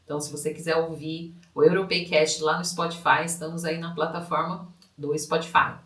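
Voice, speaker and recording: A woman's voice: deep voice, level tone, brisk, fairly bright tone. A woman from São state, co-hosting a podcast and telling her family's story in a chatty way, checking in with tag questions. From Brazil, São Paulo state. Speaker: podcast co-host. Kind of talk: casual conversational storytelling. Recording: echoey room, mic at a distance.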